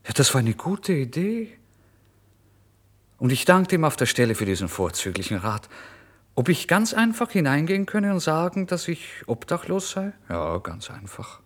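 A man's voice: mid-range voice, slightly rough, heavily acted. An actor playing a starving, impulsive male writer in a theatrical, changeable first-person literary monologue for radio. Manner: theatrical, swinging from exclamations to hushed whispers. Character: starving, impulsive male writer recounting his misery and brazen lies.

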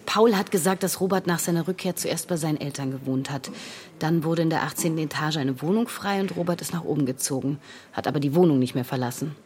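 An actress playing a grieving, insistent young woman in a naturalistic radio drama, speaking earnestly and haltingly. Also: low-pitched, darkish tone, close to an everyday voice. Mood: serious, unsettled. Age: young adult.

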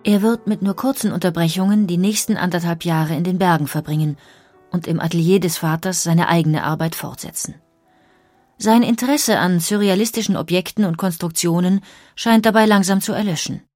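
A woman narrates a scripted documentary in a fairly low voice. She sounds measured and polished, recorded very dry with no room sound. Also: a little mellow, no echo, soft background music.

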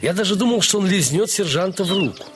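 An actor playing a playful, warm-hearted dog owner who tells his own story in a theatrical, expressive audio dramatization. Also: high voice, quick pace, over music. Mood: amused, excited. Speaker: narrator and dog's owner in a story. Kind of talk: dramatized first-person story narration.

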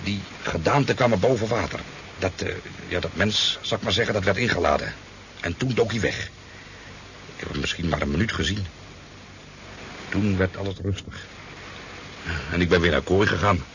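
Low-voiced rambling fisherman. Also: moderate pace, long pauses. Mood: earnest. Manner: hesitant, rambling storytelling with stammers. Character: talkative, easygoing sport fisherman, a boozy eyewitness. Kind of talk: 1970s Dutch radio drama acting. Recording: dull, noisy 1970s radio recording.

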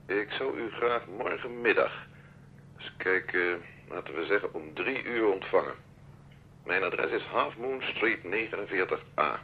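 A man playing a suave, imperious middle-aged army major in a radio drama, courteous, measured and condescending. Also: deep voice, lively intonation, narrow, tinny audio, slight background noise. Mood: insistent, courteous.

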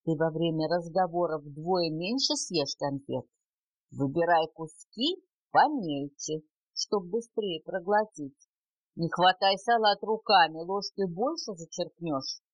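An older woman reading children's poems aloud as a grandmother would, with measured, expressive phrasing, on a home cassette recording. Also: lowish voice, no room sound, muffled recording. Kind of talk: expressive children's poetry recitation.

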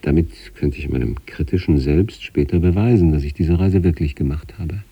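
An actor playing an introspective sanatorium patient, narrating eerie experiences in a measured, deliberate, literary radio style. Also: male voice, dry recording, dull audio, exaggerated voice. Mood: calm, absorbed. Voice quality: husky, dark low voice.

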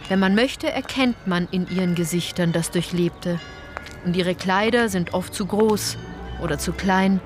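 A woman's voice: lowish voice, a little slow, close to an everyday voice. An actress playing a sardonic female tour guide in a German radio play, addressing listeners directly with theatrical irony. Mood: ironic, storytelling. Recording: music under the voice. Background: music.

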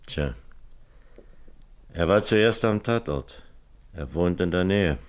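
An actor playing a thoughtful senior police officer in a 1970s East German radio crime drama, measured and laconic. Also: male voice, low-pitched, lively intonation. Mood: sober, conceding. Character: senior police officer, thoughtful, conceding a colleague was right.

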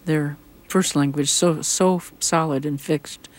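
Host with a husky voice. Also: mid-range voice, steady tone, slow pace. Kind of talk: casual radio interview.

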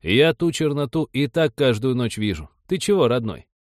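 A man's voice: medium pitch, sing-song, moderate pace, dry recording, studio quality, pitched above the performer's own voice, natural voice. A man voicing a stubborn old village war veteran, grumbling in folksy colloquial speech for an audiobook. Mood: stubborn, dismissive.

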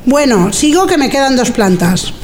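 A woman's voice: sits high, very animated, normal speed, darkish tone, a little hiss or hum. An actress playing a friendly, chatty middle-aged cleaner in a museum, talking in a homely, motherly way in a radio drama.

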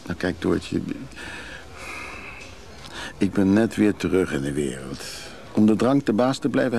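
An actor playing a restless, evasive recovering drinker in a radio play, hesitant and confiding. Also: male voice, lowish voice, gravelly, a little mellow. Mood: teasing, frank. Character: restless recovering drinker, evasive man who craves freedom. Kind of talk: naturalistic Dutch radio drama acting.

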